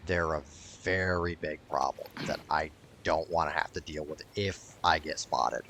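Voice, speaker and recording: A man's voice: rumbling low, a little slow, fairly bright tone, slight background noise. A man giving casual, rambling playthrough commentary as a gaming YouTuber, jokey and sweary.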